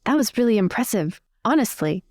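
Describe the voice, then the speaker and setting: A woman's voice: fairly high pitch, big pitch swings, a little fast, darkish tone. A woman improvising in-character conversation as a tabletop game player, polite and a little hesitant. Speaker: player in a tabletop role-playing podcast.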